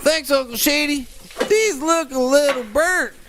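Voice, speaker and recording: A woman's voice: high-pitched, a little slow, noisy background. A woman voicing a cheeky, easygoing young nephew in a cartoon comedy, casual, slangy and teasing. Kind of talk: scripted animated comedy acting.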